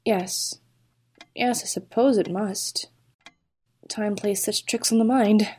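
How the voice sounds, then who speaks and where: Woman playing a mellow-voiced haunted, grieving mother. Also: mid-range voice, steady tone, slow, slightly rough. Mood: sorrowful, confused. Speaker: actress in an audio play. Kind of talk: audio drama acting.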